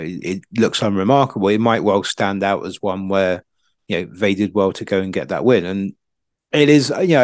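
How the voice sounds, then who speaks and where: A man chatting casually as a football pundit, thinking aloud in a halting, circling way. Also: low voice, no echo, clean studio sound.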